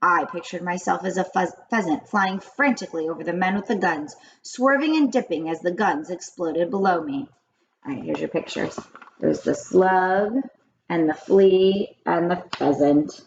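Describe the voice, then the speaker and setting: A woman reading a children's story aloud, narrating in an expressive, informal way. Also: lowish voice, moderate pace, gravelly. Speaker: storybook reader. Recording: slightly muffled, faint background noise.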